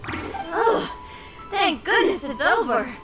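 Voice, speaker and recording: A woman playing an earnest, youthful monster hunter in a game, expressive and eager. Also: very high voice, slow pace, full character voice. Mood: relieved, curious. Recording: music behind the voice.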